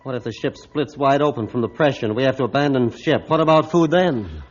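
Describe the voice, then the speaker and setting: A man playing a grim, realistic ship's captain in a formal, deliberate, old-time radio drama style. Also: medium pitch, animated, close to an everyday voice. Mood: stern, resolved. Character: grim, realistic male captain of an icebound ship. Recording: dull, band-limited old radio recording.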